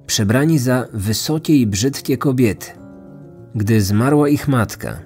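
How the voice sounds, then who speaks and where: A man's voice: mid-range voice, darkish tone, husky. A man hosting a podcast with scripted true-crime narration. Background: faint music.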